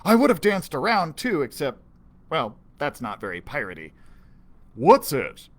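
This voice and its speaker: A man's voice: fairly high pitch, huge pitch swings, normal speed. A man reading a children's adventure story aloud as a storyteller. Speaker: library storyteller.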